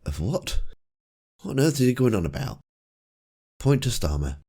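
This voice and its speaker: A man with a croaky, cold-roughened voice, giving casual commentary with dry, wry asides. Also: mid-range voice, sing-song, normal speed, very noisy. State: hoarse from a cold. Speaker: political commentator. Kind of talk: casual commentary over clips.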